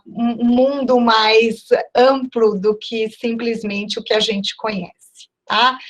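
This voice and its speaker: Slow-speaking woman professor from southern Brazil. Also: a little high, a bit bright, poor audio. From Porto Alegre, Brazil. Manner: measured, formal lecturing. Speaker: guest professor. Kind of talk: closing talk at an online university event.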